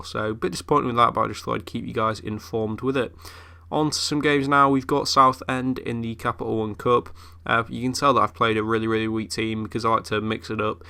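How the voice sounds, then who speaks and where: A man's voice: lowish voice, gravelly, bright, forceful tone, clean tone. A young man who is ill, chatting casually through game commentary with lots of fillers. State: ill, voice a bit off. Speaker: gaming YouTuber.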